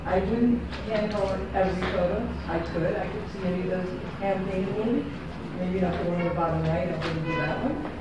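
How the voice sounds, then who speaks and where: A woman photographer chats through her work in a rambling, self-correcting way. She is picked up from across a small, very echoey gallery room with no microphone. Steady background noise sits under her voice. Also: fairly low pitch, fairly quick, few pauses, recorded from a distance. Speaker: photographer giving a gallery talk. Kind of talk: casual unscripted talk. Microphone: far room camera, no microphone.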